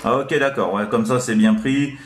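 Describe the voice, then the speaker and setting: A man's voice: mid-range voice, moderate pace, very noisy. A man having a casual CB radio chat as an operator, rambling and hesitant, repeating little filler tags. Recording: echoey room.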